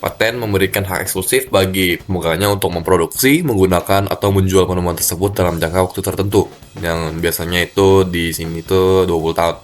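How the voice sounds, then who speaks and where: Man, very low voice, stuffy nose, halting delivery full of fillers, faint music behind. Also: steady tone. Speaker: student presenter. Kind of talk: class presentation. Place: cold room.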